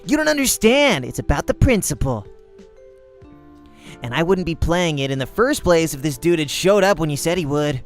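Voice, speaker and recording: A man's voice: fairly high pitch, very animated, normal speed, faint music behind, pitched above the performer's own voice. A man playing a brash, defensive young male gamer with slangy, punchy delivery in a visual novel. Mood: indignant.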